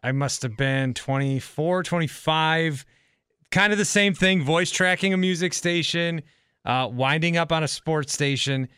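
A man's voice: fairly high pitch, a little slow, pushed and bright, smooth, dry recording, studio quality. A man in his thirties hosting a casual podcast interview, talking in a rambling, self-correcting way. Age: 30s. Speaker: podcast host and radio host.

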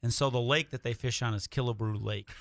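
A man's voice: mid-range voice, steady tone, a little fast, vocal fry, a bit bright. A man speaking as a writer guest in a casual, joking, conversational radio chat.

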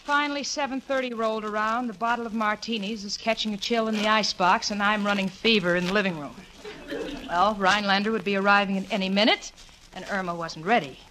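A woman's voice: mid-range voice, fairly even intonation, fast talker, bright, forceful tone, natural voice. An actress playing an exasperated, sardonic young working woman in a 1940s radio sitcom, wry and fretful. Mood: wry narration. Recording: noisy old 1940s radio recording.

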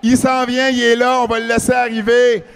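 A man hosting a live podcast with a Québécois French accent, shouting energetic introductions of his guests. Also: extremely high pitch, a little fast, creaky, smooth. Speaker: podcast host. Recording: long reverb of a live venue.

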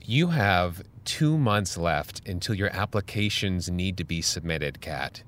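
A man playing a patient but firm high school counselor, measured and earnest, in a fiction podcast. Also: lively intonation, fairly bright tone. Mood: firm, concerned. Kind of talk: scripted audio drama dialogue.

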